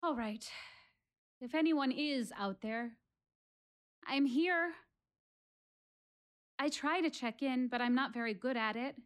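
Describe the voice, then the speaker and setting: A woman's voice: fairly high pitch, lightly acted. An actress playing an earnest, lonely, self-questioning woman who prays aloud in a confiding, conversational stage monologue.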